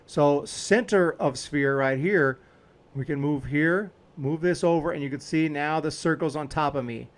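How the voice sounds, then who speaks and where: A man's voice: fairly high pitch, unhurried. A man hosting a video editing tutorial, talking casually and informally as he explains each step while clicking along.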